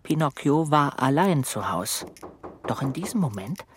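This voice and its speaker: A man narrating a children's radio play, telling the story in a measured way with dramatic emphasis. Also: mid-range voice, sing-song, slight background noise. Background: music.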